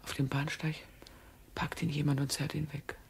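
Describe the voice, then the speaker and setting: A woman acting a tender, anxious wife and mother in a German radio play, with a husky, breathy voice. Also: sits low, level tone, normal speed, darkish tone, exaggerated voice. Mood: hushed, confiding.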